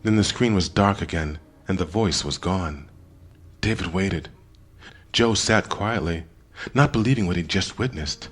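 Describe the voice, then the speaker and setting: A man narrating an audiobook in a dramatic scripted style, expressive, with deliberate pauses. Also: low voice.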